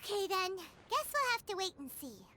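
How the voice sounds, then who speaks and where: Chirpy little fairy sidekick, super high and sing-song, heavy noise in the recording. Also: female voice, very animated, brisk. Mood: agreeable. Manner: bubbly, exclaiming. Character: tiny floating fairy companion, chirpy and excitable. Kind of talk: video game voice acting. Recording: loud background noise.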